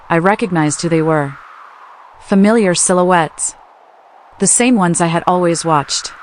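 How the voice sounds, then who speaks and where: A woman reading a first-person story aloud, soft and wistful, with music behind her. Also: lowish voice, slight background noise. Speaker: audiobook narrator. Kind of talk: scripted first-person narration.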